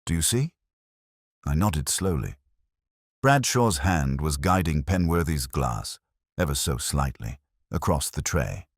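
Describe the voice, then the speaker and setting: A man reading scripted first-person mystery fiction as an audiobook narrator, in measured, deliberate phrasing. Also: a bass voice, animated, breathy edge, dry recording, studio quality.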